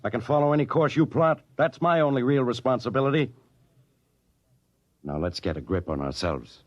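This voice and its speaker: An actor playing a strained, earnest spaceship captain who gives insistent orders, in old-time radio drama style. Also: male voice, mid-range voice, expressive, normal speed, close to an everyday voice. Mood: strained, testy. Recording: dull vintage radio recording.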